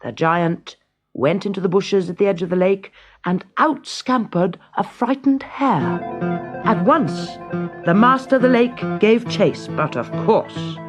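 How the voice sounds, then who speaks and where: A storyteller narrates a children's tale with dramatic, widely varied intonation. The reading is measured and unhurried, with faint music underneath. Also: medium pitch, expressive.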